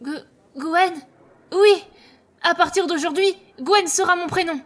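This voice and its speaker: Une actrice joue un petit orphelin timide, qui hésite et bégaie un peu, dans une fiction audio. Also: female voice, very high voice, measured pace. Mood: shy, nervous. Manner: hesitant, stammering. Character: timid, shabby little orphan boy.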